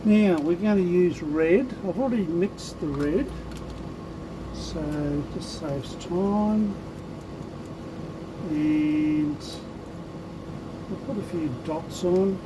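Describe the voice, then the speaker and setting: A man with a croaky voice, talking through his lure painting in an unhurried, thinking-aloud way. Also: high-pitched, expressive, background noise. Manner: unhurried, thinking aloud while working, with many ums. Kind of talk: casual hands-on tutorial commentary.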